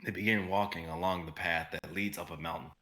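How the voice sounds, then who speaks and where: A young man narrates a live dramatic script reading. His voice sits fairly low and has a bright, forceful edge. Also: steady tone, brisk. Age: young adult.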